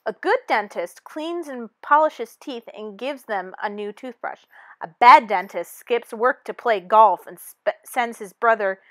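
A woman reading a children's picture book aloud in an expressive, teacherly manner. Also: fairly high pitch, unhurried, dry recording. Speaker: storybook reader.

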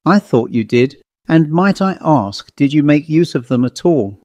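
A man's voice: fairly high pitch, animated, a little slow, a little mellow, no room sound. A man voicing an old country servant who speaks in broad, ungrammatical rural dialect. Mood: deferential. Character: old rustic male servant telling a grim tale.

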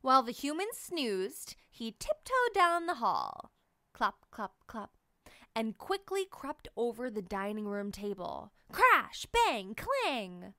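A woman reading a children's picture book aloud in an expressive, animated storytelling manner. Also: on the high side, takes it slowly, no room sound, studio quality. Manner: animated storytelling, sing-song. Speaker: read-aloud narrator.

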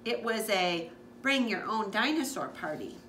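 A woman's voice: medium pitch, unhurried. A woman reading a children's picture book aloud expressively, as a school librarian. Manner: expressive, with asides to listeners. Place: living room, on a couch indoors. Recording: echoey room with background noise.

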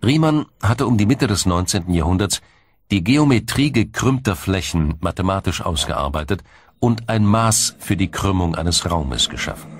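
A man narrating a scripted science documentary in a measured, formal, explanatory way. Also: low voice, expressive, husky.

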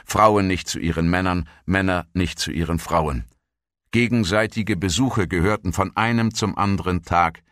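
A man reading scripted historical narration as a documentary narrator, in a measured, formal manner. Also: deep voice, a bit bright, no echo, studio quality. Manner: measured, formal read-out delivery.

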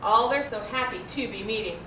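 A middle-aged American woman reads a rhyming children's picture book aloud in an expressive, carefully enunciated way. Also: fairly high pitch, a little fast. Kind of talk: children's storybook read-aloud. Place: indoor room, seated before a backdrop. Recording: roomy, distant mic, faint noise.

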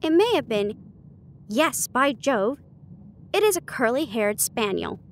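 Woman voicing a shrewd male detective, higher-pitched, confident, teasing, theatrical, deliberate, storybook reading, some background noise. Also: sing-song. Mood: deducing. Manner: deliberate, declarative deduction.

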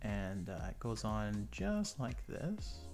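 Guy with a stuffed up nose narrating his model build, low voice, bouncy delivery, chatty tangents. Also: very animated, slow, vocal fry, darkish tone, faint music behind. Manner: chatty, rambling asides while working. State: stuffed up from a cold. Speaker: model-building channel host. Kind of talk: casual build tutorial commentary. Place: indoor tabletop workbench.

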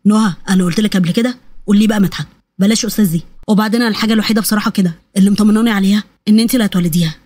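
Woman doing a coaxing older father, pitched down. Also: rapid-fire, a little mellow, natural voice. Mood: flirtatious. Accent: Egyptian Arabic.

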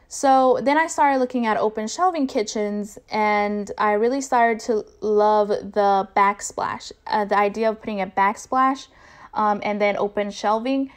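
A woman's voice: on the high side, clean tone. A woman vlogger giving a chatty, informal voice-over narration.

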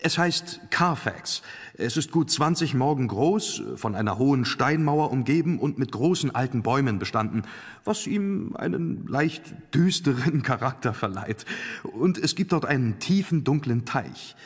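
An actor playing a polite, deferential young English solicitor in a German radio drama, speaking in a businesslike way. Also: male voice, medium pitch, expressive, a little slow, breathy edge. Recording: long reverberant hall.

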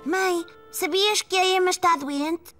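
Bright, very high-pitched little child. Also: fairly even intonation, unhurried, soft background music, exaggerated voice. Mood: worried. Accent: European Portuguese. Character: anxious, caring four-year-old boy and his little playmates. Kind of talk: children's cartoon dubbing.

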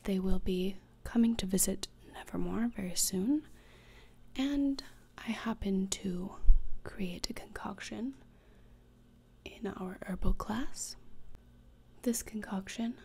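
A young woman playing a morbid, deadpan teenage schoolgirl in a whispered ASMR roleplay, speaking deliberately and cuttingly, close to the microphone. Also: medium pitch, a little hiss or hum. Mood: coolly scheming. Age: young adult. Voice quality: breathy whisper with fry. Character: morbid, deadpan, disdainful teenage schoolgirl who relishes torture. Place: small indoor room, plain backdrop. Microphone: close desktop microphone.

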